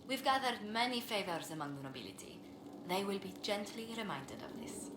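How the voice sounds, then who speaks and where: A woman playing a courteous, tactful court diplomat in a fantasy game, speaking in a polite, measured, formal way. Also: medium pitch, sing-song, lightly acted. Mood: courteous, composed. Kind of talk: scripted game dialogue. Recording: echoey room, clearly noisy game capture.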